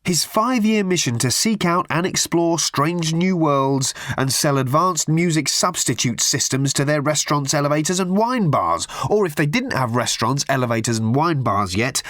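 A man voicing a manic, excitable male galactic hitchhiker who rants loudly and emphatically in an audiobook. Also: on the high side, no room sound, studio quality, voice pushed up. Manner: loud, emphatic, indignant.

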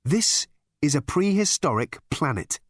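Sardonic male hero, acted by a man, impatient and exasperated, lifted pitch, comic storybook. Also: sing-song, measured pace, no room sound, studio quality. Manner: impatient, sarcastic.

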